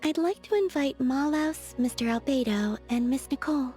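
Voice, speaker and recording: Over background music comes a woman acting a youthful moon goddess from a video game, her voice fairly high, soft and airy. She is serene and dreamy, speaking in measured phrases with naive, gentle earnestness. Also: natural voice. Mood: calm, earnest. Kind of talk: scripted video game character dialogue.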